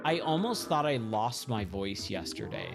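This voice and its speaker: A hoarse man chatting casually while he streams himself playing pinball, thinking aloud and getting sidetracked mid-sentence. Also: mid-range voice, big pitch swings, normal speed. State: hoarse, scratchy voice. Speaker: game streamer. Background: game music.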